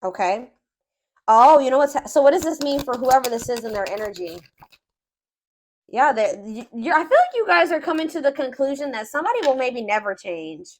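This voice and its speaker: A woman's voice: high-pitched, sing-song, brisk. A woman giving a casual, improvised tarot reading, thinking aloud and rephrasing herself as she goes. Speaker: tarot reader.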